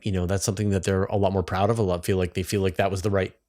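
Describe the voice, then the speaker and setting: A Canadian man hosts an interview in a slightly low voice. He talks conversationally, working through his thoughts and rewording them as he goes. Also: little pitch movement, rapid-fire, a little mellow. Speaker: interviewer and host.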